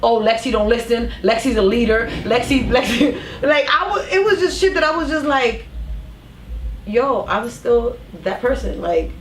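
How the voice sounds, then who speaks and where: A woman, a podcast guest telling stories in a lively, profane, restart-filled way. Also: a little high, moderate pace, a little hiss or hum. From Harlem, New York. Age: 30s. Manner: animated, profane storytelling with many restarts. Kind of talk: casual chat. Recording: echoey room, mic from a distance.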